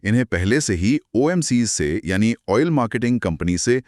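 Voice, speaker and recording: A man's voice: on the low side, expressive, normal speed, darkish tone, dry recording, studio quality. A man co-hosting a conversational explainer, speaking in an emphatic, explanatory way with English terms mixed in. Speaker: co-host explaining business results.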